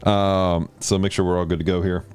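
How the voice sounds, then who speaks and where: A man hosting a livestream of video games and Bible study, chatting casually with viewers and teaching in an animated way. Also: fairly quick, clean tone, soft background music. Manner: animated, jumps in pitch when greeting chat. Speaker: host of a gaming and Bible study stream.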